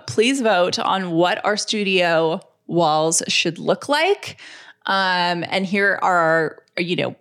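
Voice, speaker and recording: A woman's voice: lowish voice, a bit bright. A woman chatting casually as a podcast co-host, talkative and full of questions. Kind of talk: casual chat.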